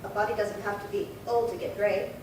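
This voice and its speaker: Woman playing fussy fast-talking matron, echoey room. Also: flat delivery, natural voice. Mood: indignant. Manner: prim, folksy, insistent. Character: fussy, chatty, indignant female burglary victim, middle-aged. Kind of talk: 1950s radio crime drama acting. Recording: echoey room, distant mic, noisy rumble.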